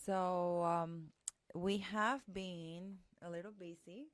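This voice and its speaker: A woman co-hosting a casual podcast chat in English, her first language being Spanish, earnest and pausing to search for words. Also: on the low side, fairly even intonation, no room sound. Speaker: podcast co-host.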